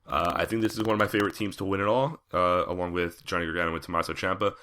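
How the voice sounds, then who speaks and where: A low-pitched man with a bright, forward tone, talking through a casual podcast recap. His throat is a bit raspy and scratchy from a cold coming on, and he fills pauses with plenty of "uh"s. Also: fairly even intonation. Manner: conversational with frequent fillers. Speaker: podcast host.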